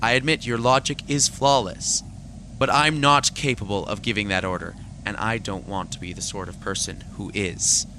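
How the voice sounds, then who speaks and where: An actor playing a principled, troubled space fleet admiral in a science fiction audio drama, earnest and stern with some weariness. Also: male voice, fairly low pitch, fairly even intonation, moderate pace, sharp tone, slight background noise. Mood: troubled, resolute.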